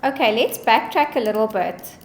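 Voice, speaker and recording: An upbeat woman guiding a client through a bond application, her voice sitting fairly high. She sounds encouraging and reassuring, keeping the call friendly and businesslike. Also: expressive, moderate pace, slight background noise. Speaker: bond originator.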